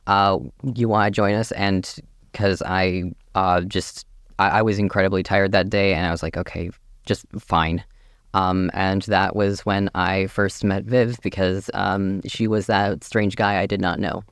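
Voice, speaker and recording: A young man of eighteen, a radio guest, speaking hesitantly with frequent pauses and fillers. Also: low voice, flat delivery, a bit bright, smooth, dry recording, clean studio sound. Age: young adult. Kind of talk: casual radio interview.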